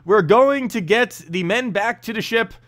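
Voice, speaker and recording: A man voicing a gruff, weary, sarcastic older knight general in a fantasy story, curt in manner. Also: high voice, measured pace, fairly bright tone, pitched above the performer's own voice. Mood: weary, exasperated. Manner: curt, sardonic.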